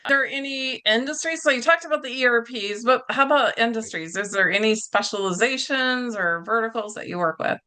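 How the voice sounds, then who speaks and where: Upbeat woman host, high bright voice, encouraging guests on a call. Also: a little fast, few pauses. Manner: upbeat, encouraging, laughs often. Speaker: podcast host. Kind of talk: hosting an interview.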